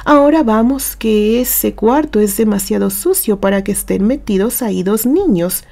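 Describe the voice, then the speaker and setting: A woman playing a gruff, kindhearted man. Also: medium pitch, lively intonation, normal speed, mellow. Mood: resigned, kind. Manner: folksy, scolding yet tender. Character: gruff but kindhearted man, exasperated rescuer of children. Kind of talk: audio story character acting.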